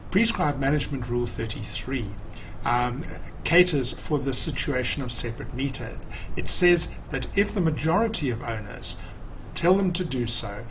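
A man in his sixties with a mid-pitched voice teaches in a formal, didactic way. He explains points methodically from notes, like a professor in a video tutorial. The recording has clear background noise, made at a computer in a small office.

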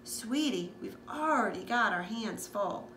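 A woman's voice: medium pitch, lively intonation, a little slow, sharp tone. A woman voicing a weary, exasperated mother in a children's storybook. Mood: reluctant. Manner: protesting, weary. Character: exasperated, reluctant but loving young boy's mother. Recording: echoey room with background noise.

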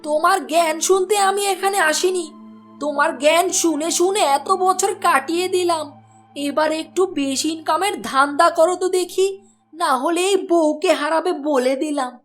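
A woman voicing a nagging, sharp-tongued village wife in a cartoon, scolding and sarcastic. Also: very high voice, normal speed, faint music behind. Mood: bitter, nagging. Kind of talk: Bengali cartoon voice acting.

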